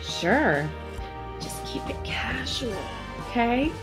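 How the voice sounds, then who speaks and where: A woman playing a breezily confident young sidekick in a motion comic, husky and flippant. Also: a little high, sing-song, over music. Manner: flippant, brisk retorts.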